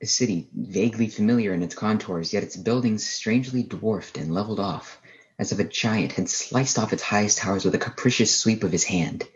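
An actor playing a haunted astronomy professor, lone survivor of an alien invasion, narrating a radio play in a halting, brooding, literary way. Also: male voice, fairly low pitch, highs cut off, natural voice. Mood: grim, watchful. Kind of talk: dramatic first-person radio play narration.

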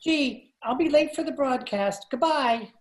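Lady doing an earnest fretful mister, high voice, slowish, old radio comedy. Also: background noise. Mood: relieved, hurried. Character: hurried, grateful dog owner Mister Zinser. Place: room at home, video call.

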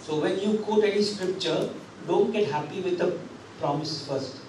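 A man's voice: high-pitched, normal speed, background noise. Echoey preaching man with handheld mic. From India. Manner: conversational preaching, frequent fillers and restarts, rising emphasis. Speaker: pastor. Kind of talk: sermon. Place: church hall with drum kit. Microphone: handheld microphone. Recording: very echoey hall, picked up from a distance.

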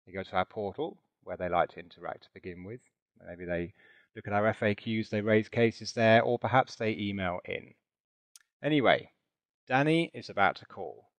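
A man narrating a software demonstration in a chatty, informal way, with conversational asides. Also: on the low side, moderate pace, dry recording, dull audio. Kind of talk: conversational product demo narration with role-play.

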